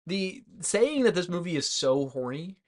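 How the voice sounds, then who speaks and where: A man's voice: high voice, lively intonation, moderate pace. A man co-hosting a podcast, chatting casually about movies in a loose, hesitant way with lots of "like". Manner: hesitant, loosely phrased, frequent "like". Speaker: podcast co-host.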